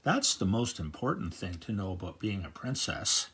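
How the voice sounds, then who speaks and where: A man's voice: low-pitched, sing-song, normal speed, a bit bright, husky, pitched below the performer's own voice, exaggerated voice. A grandfatherly man voicing a vain, bossy young girl princess in a storybook. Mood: haughty.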